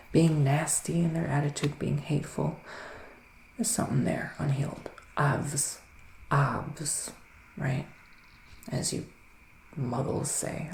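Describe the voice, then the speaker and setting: Soft, creaky-voiced man, ASMR witch roleplay. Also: a little high. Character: psychic male witch living in the woods, giving readings. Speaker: ASMR performer playing a psychic reader. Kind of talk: ASMR roleplay, soft-spoken and partly whispered. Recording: roomy echo with faint background noise.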